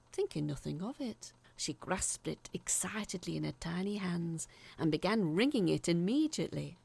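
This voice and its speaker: Woman, breathy and creaky, uneasy confiding narrator, first-person spooky audio story. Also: fairly low pitch, darkish tone.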